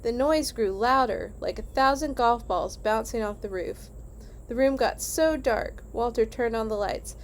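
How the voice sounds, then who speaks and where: A woman reading a children's story aloud in an animated, expressive way, as in a read-along for kids. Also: on the high side, measured pace, slight background noise. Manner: animated, acts out dialogue.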